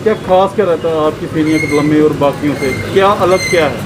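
A man interviewing off camera, asking quick questions. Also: a little high, very noisy. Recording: loud crowd behind.

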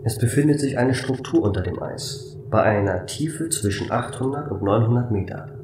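A man voicing a calm, matter-of-fact station computer in a flat, formal way for a sci-fi audio drama. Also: mid-range voice, moderate pace, echoey room, distant mic, noisy background, lightly acted. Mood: factual.

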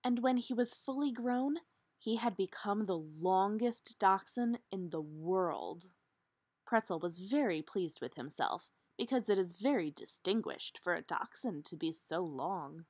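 Husky woman reading a picture book slowly. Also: medium pitch, expressive, darkish tone, no echo. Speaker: storybook reader. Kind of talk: expressive children's read-aloud narration.

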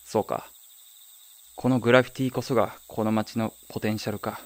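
A man's voice: lowish voice, level tone, fairly quick, close to an everyday voice. A man playing a wistful, wry young man who narrates his own story in a radio drama. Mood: dry, indignant.

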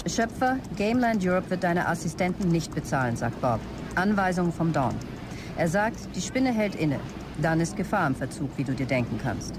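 Commanding female executive, low voice, curt dictation, stressed, audio drama, noisy ambience. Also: big pitch swings, normal speed. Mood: firm. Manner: curt, businesslike dictation of messages. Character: brisk, stressed, commanding female start-up boss. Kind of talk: German audio drama acting.

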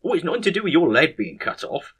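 A man's voice: fairly high pitch, big pitch swings, quick pace, fairly bright tone, slightly rough, pitched above the performer's own voice, exaggerated voice. A man voicing an evasive, self-justifying theatre manager, dismissive and blustering, in a comic story. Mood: defensive.

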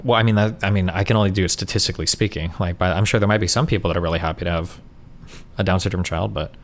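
A man's voice: deep voice, steady tone, very fast. A man in his thirties, a streamer debating from his home setup, talking down to his opponent. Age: 30s. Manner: condescending, testing principles. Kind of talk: heated debate. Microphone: studio mic on arm.

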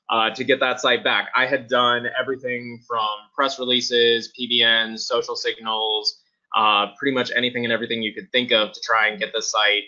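Male webinar guest, casual testimonial, very bright and tense tone, voice starting to give out, lo-fi sound. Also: mid-range voice. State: starting to lose his voice, often clearing his throat. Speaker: guest user giving a testimonial. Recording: dull, lo-fi webinar audio.

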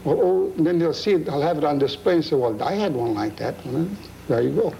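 A man's voice: on the high side, animated, fairly quick, vocal fry, warm tone, slight background noise, rough recording. A man in his sixties chatting casually in an interview, folksy and plainspoken. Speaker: antique machine collector being interviewed. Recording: old videotape with music behind.

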